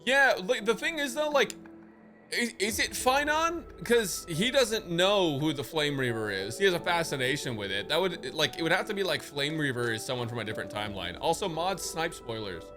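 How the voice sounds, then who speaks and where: Male streamer, off-the-cuff slangy commentary, lively swings in pitch, slight game music underneath. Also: normal speed. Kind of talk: casual live reaction commentary. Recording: faint game music behind.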